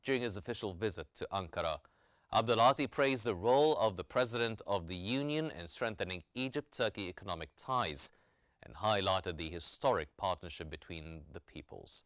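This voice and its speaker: Male news anchor, low and resonant, formal scripted bulletin delivery. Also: expressive, a bit bright, no echo, clean studio sound. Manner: formal, measured newsreader delivery.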